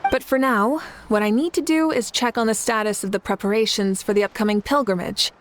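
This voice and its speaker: A woman voicing a calm, confident female leader in a video game, speaking in a composed, commanding way. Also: fairly high pitch, a little fast, a little hiss or hum, natural voice. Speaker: game character.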